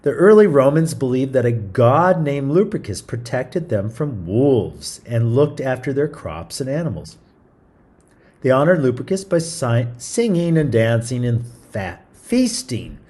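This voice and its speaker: A man's voice: mid-range voice, mellow. A man hosting a story time, reading a picture book aloud in an informal, chatty way with small stumbles and asides. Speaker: read-aloud storyteller, show host.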